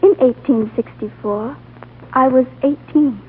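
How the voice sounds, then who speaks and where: Reserved young woman, high soft voice, tinny old radio with hum. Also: measured pace. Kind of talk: 1930s radio drama acting.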